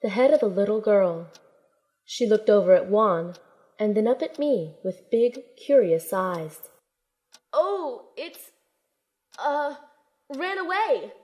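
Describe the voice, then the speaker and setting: A woman's voice: medium pitch, very animated, slow pace, long pauses, darkish tone. A woman playing a plucky, kind young shaman heroine who narrates her own story in an audio drama. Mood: flustered. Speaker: narrator and protagonist. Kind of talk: first-person audio drama narration and acting.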